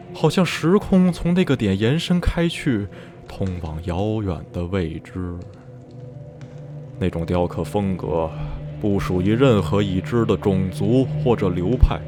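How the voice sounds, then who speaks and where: Guy acting deep voiced haunted narrator in a horror radio play, brooding and intense, music behind. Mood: feverish awe. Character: male narrator recounting eerie memories, obsessed and haunted. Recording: radio drama with music underneath.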